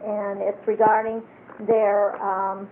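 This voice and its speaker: A woman's voice: mid-range voice, level tone, slow. A woman conducting a casual interview, chatty and hesitant with frequent fillers. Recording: tinny lo-fi home tape with hiss.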